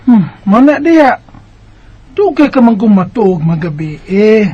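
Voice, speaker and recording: A woman with a Cebuano Bisaya accent playing a concerned, nagging, skeptical mother in a radio drama, with a husky voice. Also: mid-range voice, big pitch swings, unhurried, muffled recording. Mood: scolding. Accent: Cebuano Bisaya Filipino. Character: concerned, scolding, skeptical mother of a teenage girl.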